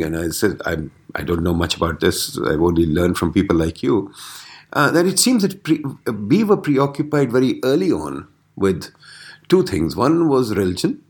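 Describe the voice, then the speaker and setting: A man hosting a conversation who thinks aloud and keeps up soft listening murmurs. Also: on the low side, big pitch swings, normal speed. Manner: reflective, lots of listening murmurs. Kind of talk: conversational discussion.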